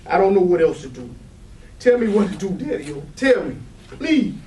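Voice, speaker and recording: A man's voice: sits high, sing-song, normal speed, warm tone. A man playing a hurt, defiant grown son who pleads and confronts in a staged play reading. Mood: pleading, upset. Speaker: stage actor in a readers theatre. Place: live readers theatre room. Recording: roomy, distant mic with light noise.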